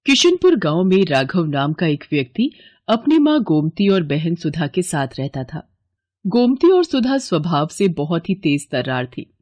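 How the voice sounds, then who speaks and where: A woman's voice: fairly low pitch, big pitch swings, measured pace, a little mellow, no echo. A woman narrating a scripted cartoon story.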